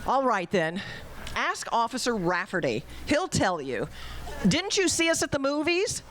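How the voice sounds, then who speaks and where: A woman plays an exasperated, feisty girlfriend in a live radio comedy. She is snappy and protesting, highly animated, with faint background noise. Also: a little slow. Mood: pleading. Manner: pleading, snappy.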